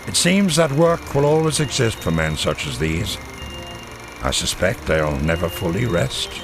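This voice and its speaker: An actor playing a middle-aged intelligence colonel from the Second World War, delivering a formal, measured mission briefing in a game cutscene. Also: male voice, sits low, big pitch swings, over music, heavily acted. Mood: reflective, warm. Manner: measured, formal, crisp military delivery with weighty pauses. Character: formal, authoritative WWII American intelligence colonel briefing officers. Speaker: military briefing officer. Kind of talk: scripted dramatic military briefing.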